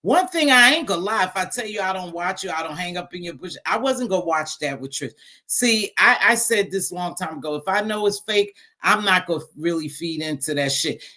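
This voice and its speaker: A middle-aged woman with a deep voice hosting a casual live stream, talking bluntly and sassily to her viewers. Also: expressive, a little fast, sharp tone. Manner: blunt, sassy, talks straight to viewers. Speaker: live stream host reading chat.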